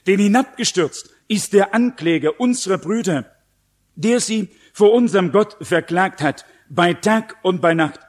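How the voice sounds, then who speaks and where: High-voiced man preaching. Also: unhurried. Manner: emphatic, didactic, asks the listeners questions. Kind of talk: sermon with read-out scripture and teaching.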